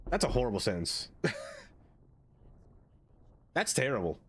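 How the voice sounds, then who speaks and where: A man streaming a game in casual livestream chat, with a stuffed-up nose, chatty and excitable as he greets viewers by name. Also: medium pitch, measured pace, husky.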